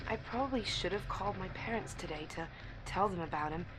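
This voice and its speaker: A woman's voice: medium pitch, fairly quick, natural voice, poor audio. A woman playing an eager, naive young university student confiding earnestly in an audio drama monologue. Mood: infatuated, wistful. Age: young adult. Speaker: actor. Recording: clear background ambient noise.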